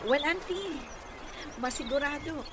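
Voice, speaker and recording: A woman's voice: sits high, a little slow. A young woman playing a cheerful, polite city niece visiting her aunt in an Ilocano radio comedy drama. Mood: delighted. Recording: noisy radio drama with ambience.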